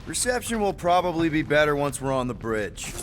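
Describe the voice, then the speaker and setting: Lively, boyish space-adventurer leader. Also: male voice, on the high side, fairly quick, a little hiss or hum. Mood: determined. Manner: casual, colloquial, expressive. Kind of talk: video game voice acting.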